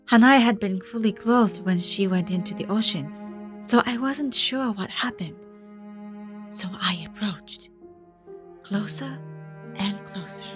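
An actress as a wistful woman diver telling a haunting story of the sea. She speaks slowly, in an intimate, hushed and reflective way, with music underneath, as in an audio drama. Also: mid-range voice, natural voice. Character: wistful Japanese ama diver woman recounting her haunting underwater memories.

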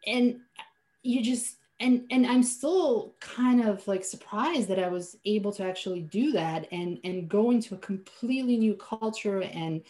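A woman's voice: mid-range voice, a little slow, warm tone. Earnest woman. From Slovenia. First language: Slovenian. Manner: earnest, reflective, with frequent restarts. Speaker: guest, finance business owner. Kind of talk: podcast interview answers.

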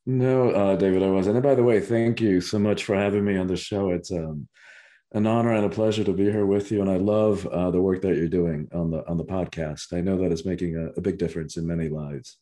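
A very deep, mellow-voiced man from Cuba speaks as a podcast guest. He is a spiritual teacher who talks earnestly and reflectively, often restarting his phrases as he thinks aloud. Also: steady tone, brisk. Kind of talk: reflective interview answers.

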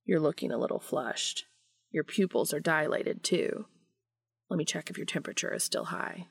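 Female reader as concerned male caretaker in an audiobook; earnest, awkward, low with fry. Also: lively intonation, moderate pace, no room sound, clean studio sound, heavily acted. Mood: concerned, clinical. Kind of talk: audiobook character dialogue.

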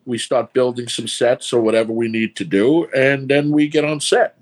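A man's voice: medium pitch, moderate pace. A man with a New York accent, a filmmaker talking casually through his process step by step. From New York City. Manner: rambling, explains step by step. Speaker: filmmaker guest. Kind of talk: casual podcast chat.

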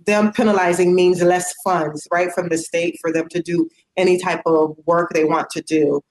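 A woman's voice: fairly low pitch, roomy sound, a little hiss or hum, low-quality recording. A woman speaking in casual conversation, earnest and outspoken, with lots of fillers. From United States.

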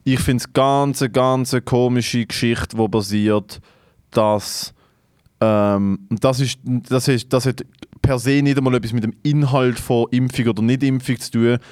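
A man's voice: mid-range voice, steady tone, a little slow, a little mellow, no echo, studio quality. A man with a Swiss German accent in casual, opinionated debate, swearing and dropping in English slang.

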